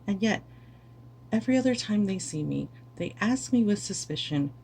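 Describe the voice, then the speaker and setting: A woman's voice: mid-range voice, animated, moderate pace, vocal fry, darkish tone. Woman, streamer, tired, earnest, emphatic, speaking straight to camera, faint hum behind. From United States. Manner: earnest, emphatic, repeats words for stress. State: weary. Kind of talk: impassioned speech to camera.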